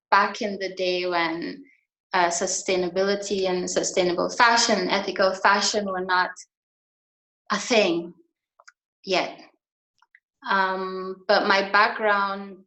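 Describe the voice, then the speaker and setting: A middle-aged woman from Guyana, guesting on an online interview, who speaks slowly and thoughtfully with frequent ums. Her mid-pitched voice comes over a video call, ringing in an echoey room. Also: flat delivery, a bit bright. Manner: slow, thoughtful, many ums. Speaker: guest, fashion designer.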